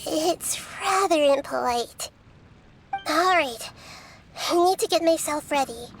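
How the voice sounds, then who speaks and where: A small childlike goddess in a video game. The voice is very high and girlish, speaking with calm, polite earnestness over faint background noise. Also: slow, rough recording. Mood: gentle, resolute. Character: gentle childlike female deity, wise, calm and earnest.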